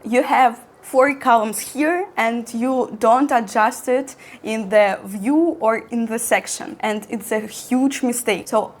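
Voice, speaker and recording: Young woman, bright voice. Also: on the high side, slow, few pauses. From Russian-speaking. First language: Russian. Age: 20s. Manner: friendly, with nervous little laughs and non-native phrasing. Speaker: architecture student and vlogger. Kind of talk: casual educational talk to camera.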